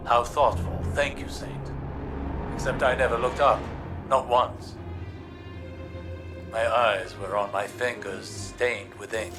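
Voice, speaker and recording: An actor playing a weary, reflective scholar who speaks in a measured, gently lecturing way. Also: male voice, mid-range voice, fairly even intonation, music in the background, close to an everyday voice. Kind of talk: video game cutscene dialogue.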